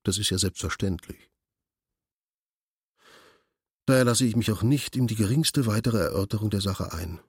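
An actor playing a curt, imperious senior official in a radio drama, speaking in a formal, pedantic, bureaucratic manner. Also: male voice, on the low side, fairly quick, breathy edge, no echo, clean studio sound. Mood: commanding, dismissive. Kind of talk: German radio drama acting.

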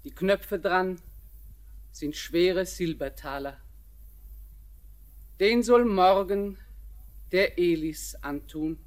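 An older actress playing a kindly old grandmother who speaks in a measured, deliberate way. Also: on the low side, darkish tone.

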